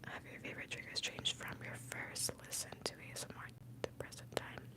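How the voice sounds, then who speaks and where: Young woman whispering closely, native Spanish speaker. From born in Mexico, moved to the US as a young child. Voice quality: breathy whisper. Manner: soft, unhurried, close to the microphone. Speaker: ASMR live streamer. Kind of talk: ASMR whispering, casual rambling and answering chat. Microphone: close, highly sensitive microphone.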